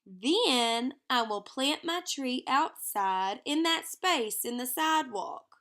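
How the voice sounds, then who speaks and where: Woman voicing a bright, high-pitched excitable little girl. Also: slow, heavily acted. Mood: exuberant. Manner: excitable, gushing. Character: eager, imaginative little girl planning big adventures. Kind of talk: children's storybook character reading.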